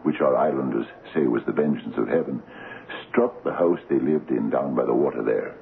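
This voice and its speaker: An actor playing a chatty Scottish village doctor in a radio drama, with a hesitant, folksy storytelling manner. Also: male voice, a bass voice, moderate pace, creaky. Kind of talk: old radio drama acting. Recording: muffled, hissy old radio broadcast.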